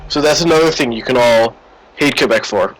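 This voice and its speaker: A man's voice: mid-range voice, brisk, fairly bright tone, rough recording. A man casually commenting on a game stream, joking and teasing his chat in a sarcastic tone. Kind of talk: casual live-stream commentary while playing.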